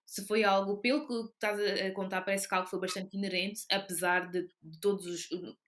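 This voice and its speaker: A woman's voice: medium pitch, fairly even intonation, fairly quick, fairly bright tone. Chatty Portuguese podcast host woman. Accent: European Portuguese. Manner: conversational, asks long rambling questions. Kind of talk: podcast interview.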